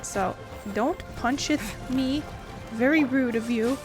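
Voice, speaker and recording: Playful, higher-voiced woman doing game commentary. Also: slow pace, music in the background. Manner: playful, sarcastic, swearing. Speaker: gaming video host. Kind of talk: casual let's play commentary.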